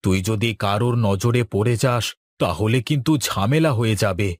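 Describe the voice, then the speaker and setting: A man's voice: fairly low pitch, normal speed, no echo, close to an everyday voice. A man voicing a protective father in a village fairy-tale cartoon, with a cautioning, paternal manner. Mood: worried, cautioning. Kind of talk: Bengali cartoon voice acting. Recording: music under the voice.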